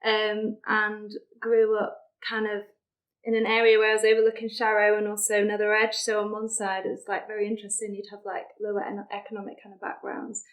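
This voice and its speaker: A woman's voice: mid-range voice, monotone, brisk, poor audio. A young adult woman with a Sheffield accent, chatting casually as a podcast guest, with lots of fillers. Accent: Sheffield English. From Sheffield, England. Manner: chatty, many fillers and likes. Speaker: charity deputy director, podcast guest. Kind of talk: casual interview chat.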